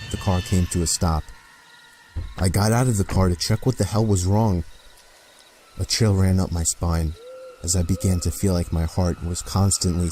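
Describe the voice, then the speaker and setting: A man reading a scripted first-person horror story in a measured, deliberate way, with pauses between phrases. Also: low-pitched, dark tone, slight background noise. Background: background music.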